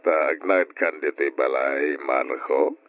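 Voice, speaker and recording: An actor with an Ilocano accent playing a kindly, fatherly older gentleman, solicitous, in old radio drama style. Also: very deep voice, takes it slowly, no echo. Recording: dull old radio recording.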